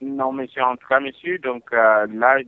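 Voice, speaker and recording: A man's voice: medium pitch, fairly even intonation, moderate pace, smooth, no room sound. A man working as a customer support agent, talking on the telephone in a polite, repetitive, reassuring way. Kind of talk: customer service phone call. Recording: narrow tinny phone line, dry.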